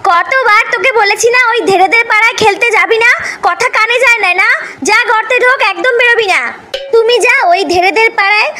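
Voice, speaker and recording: A woman voicing a fussy, chatty middle-aged mother mouse in an animated comedy, exclaiming and nagging. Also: very high-pitched, brisk, a little hiss or hum. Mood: scolding.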